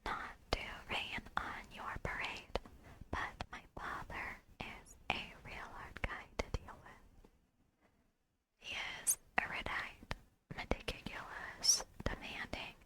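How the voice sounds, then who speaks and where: A woman reads video game dialogue aloud in a soft, deliberate, breathy whisper, close to the microphone in ASMR style.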